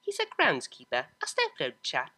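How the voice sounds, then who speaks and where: A man's voice: a little high, wildly swooping pitch, moderate pace, pushed and bright, a little hiss or hum, exaggerated voice. A man voicing a stern, commanding pony mother from a story, formal and condescending. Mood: stern, disdainful.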